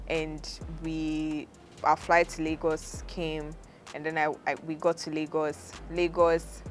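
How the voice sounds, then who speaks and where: A young woman with a Ghanaian accent, hosting a podcast and telling a personal story in a relaxed way, laughing often as she goes. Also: deep voice. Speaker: podcast host. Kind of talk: casual storytelling.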